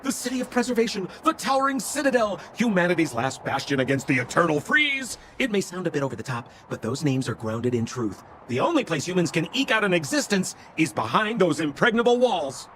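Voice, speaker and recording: A man's voice: high voice, very animated, fairly quick, few pauses, fairly bright tone, slight background noise. A man voicing a slick, theatrical male con-man relic dealer in a video game, with glib, wheedling salesman patter. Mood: glib, confiding.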